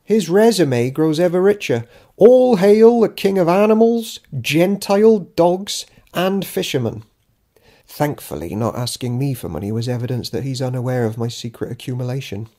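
A man's voice: a little high, animated, normal speed, warm tone. A man reading an audiobook as Judas Iscariot, a self-important, grudging disciple keeping a diary, in a dry, theatrical, pompous manner. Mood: sardonic. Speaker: author reading own audiobook. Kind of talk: dramatic audiobook narration of a diary.

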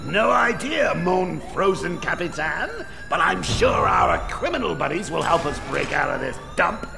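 A man's voice: fairly high pitch, lively intonation, a little slow, gravelly, shouting, in a large hall, over music, exaggerated voice. An actor playing a giddy, theatrical male clown villain in a video game cutscene. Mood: scheming. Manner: giddy, theatrical, sing-song.

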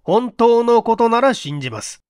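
A man's voice: very high voice, sing-song, normal speed, darkish tone, smooth, no room sound, clean studio sound, pitched above the performer's own voice. A man playing a firm but kindly police investigations chief in an audio mystery drama, questioning formally and with authority. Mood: firm, pressing.

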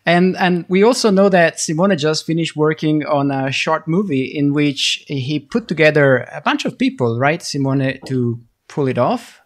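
A man's voice: a little high, normal speed, few pauses. A man with an Italian accent hosting a video-call interview, laughing often and teasing his guest lightly. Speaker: host.

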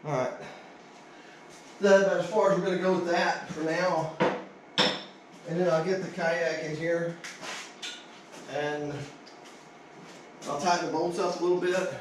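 A man's voice: fairly high pitch, level tone, measured pace, long pauses. A middle-aged man gives a casual, folksy hands-on how-to, half muttering to himself as he works. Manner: folksy, informal, muttering to himself while working. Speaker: DIY vlogger. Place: indoor home garage workshop. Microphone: action camera, on tripod or handheld, varying distance. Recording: roomy echo, clear noise, handling clicks.

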